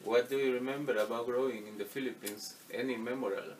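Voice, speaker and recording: A man asking short oral history questions with brief, quiet acknowledgements. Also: mid-range voice, fairly even intonation, quick pace. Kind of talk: reading interview questions. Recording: distant from the mic, faint room noise.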